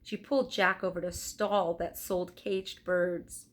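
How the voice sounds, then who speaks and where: A woman narrating a children's storybook aloud in an expressive, deliberate storytelling style. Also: fairly low pitch. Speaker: teacher reading aloud.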